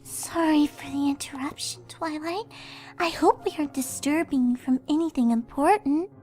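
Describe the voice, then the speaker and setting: Woman acting as timid pony mare, cartoon, high pitch, soft, hesitant, apologetic, music behind. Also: moderate pace, close to an everyday voice. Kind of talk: fan fiction voice acting.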